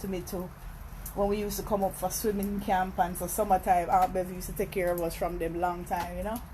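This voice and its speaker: A woman's voice: lowish voice, little pitch movement, a little fast, a little hiss or hum, poor audio. Chatty Jamaican woman vlogging. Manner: chatty, greets viewers with shout-outs between stories. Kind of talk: casual live-stream chat.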